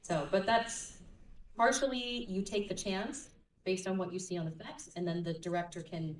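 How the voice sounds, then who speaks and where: A woman's voice: mid-range voice, steady tone, normal speed. A woman voice actor on a convention panel, thoughtfully explaining her craft through examples. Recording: echoey hall, mic from far off.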